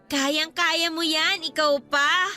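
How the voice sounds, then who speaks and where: An affectionate younger sister in a Filipino radio drama. Her young Filipino voice is very high and bright. She speaks sweetly and encouragingly over soft background music. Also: steady tone, slow, exaggerated voice. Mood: cheery, teasing.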